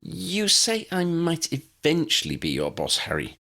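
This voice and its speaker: A male narrator puts on an earnest grown witch in a storybook. The voice is lifted above his usual low one, emphatic and very animated. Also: measured pace, vocal fry, full character voice. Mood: questioning. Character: earnest, appreciative adult witch.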